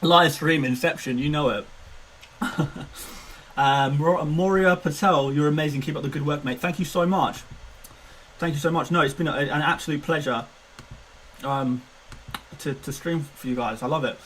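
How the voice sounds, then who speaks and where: A man's voice: fairly high pitch, normal speed, pauses often, a bit bright, slight background noise. A man in his twenties chatting casually on a live stream, self-mocking and laughing often. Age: 20s. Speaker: fantasy football streamer.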